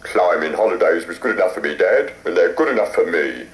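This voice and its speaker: A man's voice: deep voice, expressive, fairly quick. A man voicing a mild, rather glum caterpillar in a children's story. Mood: wistful. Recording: roomy echo with loud hiss.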